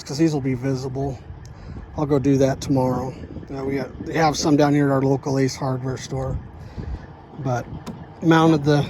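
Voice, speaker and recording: Slow, gravelly man rambling casually. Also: a little high, noisy background. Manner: halting, rambling, plain-spoken. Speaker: truck driver, DIY vlogger. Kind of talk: casual DIY vlog, thinking out loud.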